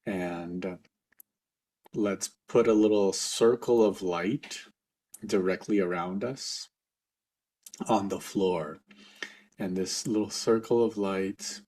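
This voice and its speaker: A man leading a guided meditation for a group as facilitator, speaking informally in a gentle, reassuring, unhurried way. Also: on the low side.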